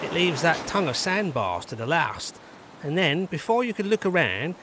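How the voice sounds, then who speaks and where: An actor playing a blunt, excitable, forward villager in an audio drama, speaking emphatically. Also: male voice, on the high side, moderate pace, background noise. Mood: warning, animated. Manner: animated, emphatic.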